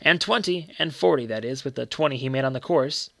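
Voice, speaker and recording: An earnest, loyal manservant, voiced by a male storyteller speaking respectfully and colloquially. His voice is creaky and confiding, recorded very dry as in an audiobook. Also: medium pitch, a little fast, sharp tone, no echo. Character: deferential, earnest manservant, hushed and reverent about secrets.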